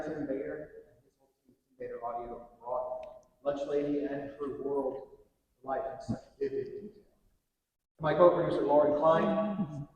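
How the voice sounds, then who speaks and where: A man's voice: mid-range voice, a little mellow. A man giving a prepared thank-you speech as an audiobook producer. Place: large indoor hall at an awards ceremony. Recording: very echoey hall, distant from mic.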